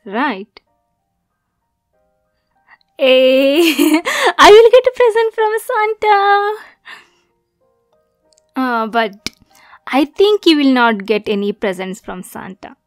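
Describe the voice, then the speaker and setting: A woman's voice: on the high side, dry recording. A woman playing a sweet, naive but possessive mermaid girlfriend in an intimate ASMR roleplay, coy and often slipping toward a whisper. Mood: coy, teasing, then excited, delighted.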